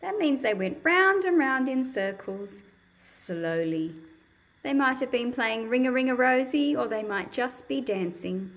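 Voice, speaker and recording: A woman's voice: high-pitched, animated, unhurried. A woman reading a picture book aloud to young children and explaining it in simple words, in a patient, teacherly manner.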